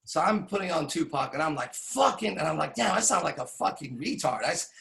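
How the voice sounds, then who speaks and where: A man's voice: fairly high pitch, lively intonation, fairly quick, vocal fry, sharp tone. A man in his forties telling a rambling, profane personal story, full of restarts and tag questions. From Iran. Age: 40s. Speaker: podcast host. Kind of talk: candid storytelling monologue.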